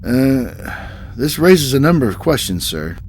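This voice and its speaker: An actor playing a hesitant, dryly polite starship captain in a science fiction audio drama, stammering slightly, with noise behind him. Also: male voice, medium pitch, sing-song, a little slow, darkish tone. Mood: deferential, puzzled.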